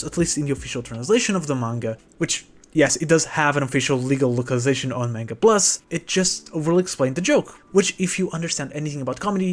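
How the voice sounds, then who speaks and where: A man hosting a scripted commentary video, talking with dry sarcastic jokes. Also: a little high. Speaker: manga video essayist.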